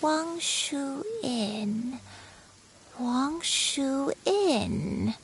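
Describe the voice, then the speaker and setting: A forgetful elderly grandmother in a game, drifting dreamily through her thoughts. The voice is high and unhurried, over faint background noise. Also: animated, heavily acted. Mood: musing. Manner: slow, musing, absent-minded. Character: forgetful, dreamy old granny. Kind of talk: anime-style video game voice acting.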